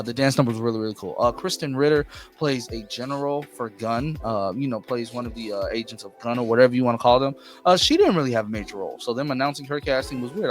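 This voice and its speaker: An enthusiastic man gives an off-the-cuff review with music playing behind him. His mid-pitched voice leans on key words and circles back to repeat them. The delivery is informal and emphatic, like a host talking straight to his audience. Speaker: movie reviewer and channel host. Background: background music.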